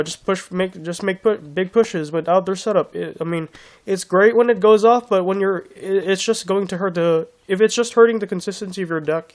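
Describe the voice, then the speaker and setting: A young man with a hoarse voice from being sick, casually walking through his card game deck with many restarts. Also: on the high side. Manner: rambling, many restarts and fillers. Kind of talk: casual deck profile walkthrough.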